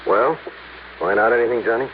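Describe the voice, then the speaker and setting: An actor playing a gruff, blunt American police lieutenant with colloquial speech in an old radio drama. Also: male voice, mid-range voice, fairly quick, close to an everyday voice. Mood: curious. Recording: tinny, noisy old radio recording.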